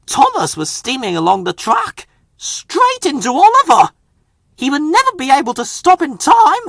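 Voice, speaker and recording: A man reading a children's story aloud with dramatic, deliberate expression. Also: very high-pitched, wildly swooping pitch, creaky, pushed and bright, no room sound, studio quality. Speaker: storybook reader.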